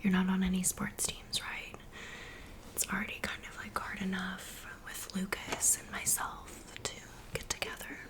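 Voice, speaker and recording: A woman's voice: mid-range voice, monotone, moderate pace, natural voice. A woman voicing a friendly teenage cheerleader in a whispered ASMR roleplay, chatty and welcoming, close to the mic. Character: friendly teen girl cheerleader in a club. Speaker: ASMR creator.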